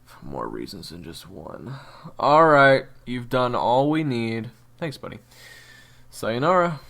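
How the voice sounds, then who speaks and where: A man's voice: medium pitch, very animated, slow, creaky, a bit bright, heavily acted. A man playing a brash, crude young monster-hunting swordsman, slangy and sarcastic, in an audio drama. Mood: impatient, sarcastic. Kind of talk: audio roleplay acting.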